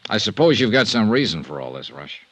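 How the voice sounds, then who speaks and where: A man's voice: on the low side, very animated, brisk, a bit bright, breathy edge, dry recording, full character voice. A man playing a cool, skeptical insurance investigator in a radio noir, laconic and probing. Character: cool, skeptical male insurance investigator, hard-boiled detective. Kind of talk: 1950s radio noir acting. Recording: noisy vintage radio recording.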